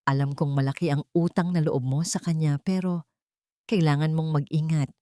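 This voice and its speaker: A woman's voice: sits low, steady tone, normal speed, mellow, dry recording, studio quality, close to an everyday voice. A woman voicing a scheming male cousin who feigns concern in a confiding, insinuating way, in a drama story.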